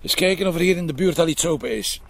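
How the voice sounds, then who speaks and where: An actor with a Flemish accent playing a wry, blunt police commissioner in a crime drama, sardonic and colloquial. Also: male voice, on the high side, fast, gravelly. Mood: wry, grumbling.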